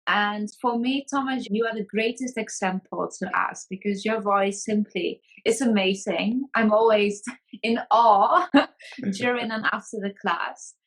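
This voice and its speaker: A woman's voice: medium pitch, measured pace, low-quality recording. A woman hosting a podcast, asking her guest questions in a friendly, encouraging way and laughing easily. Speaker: podcast host. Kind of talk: podcast interview.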